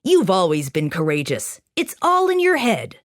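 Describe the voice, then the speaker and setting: A kindly humbug wizard in a fairy tale, played by a woman. He is generous and reassuring, with animated pitch and a dry, close recording. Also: moderate pace, no echo. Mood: encouraging.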